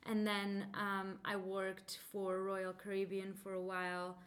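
Slow, careful young woman. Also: mid-range voice, monotone. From Mexico. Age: 20s. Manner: careful, deliberate, many ums. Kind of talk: reading a passage, then casual self-introduction.

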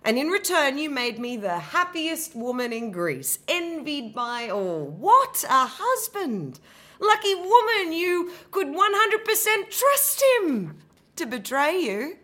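A woman in her thirties playing a betrayed, bitter queen in a dramatic monologue, sarcastic and swinging from low muttering to loud outbursts. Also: very animated, measured pace, hardly any pauses. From Australia. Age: 30s. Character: betrayed furious queen, bitter abandoned wife. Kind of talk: dramatic monologue, audition piece.

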